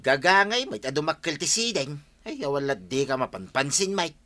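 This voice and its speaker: A man's voice: a little high, big pitch swings, normal speed, pushed and bright. A man acting a skeptical, questioning character in an audio drama, matter-of-fact in manner.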